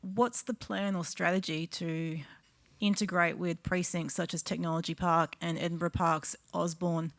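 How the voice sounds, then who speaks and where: A woman from the audience asks a panel a careful, slightly halting question. Her voice is lowish with a gravelly edge. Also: little pitch movement. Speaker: audience member asking a question.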